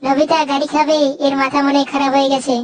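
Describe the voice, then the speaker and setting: A woman's voice: high voice, little pitch movement, fast, natural voice. A woman voicing a childlike robot cat companion in a cartoon dub, touchy, stubborn and scolding.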